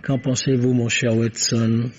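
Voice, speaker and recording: An actor playing a shrewd police commissioner in an old radio mystery, polite, probing and drily ironic. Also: male voice, mid-range voice, little pitch movement, measured pace, slight background noise, close to an everyday voice. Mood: musing, teasing. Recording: dull vintage radio drama recording.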